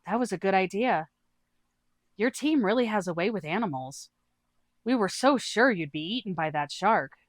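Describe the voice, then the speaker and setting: A woman's voice: mid-range voice, expressive, normal speed, dry recording, clean studio sound, lightly acted. A woman voicing a friendly, inquisitive rival adventurer in a fantasy story, earnest and a little suspicious.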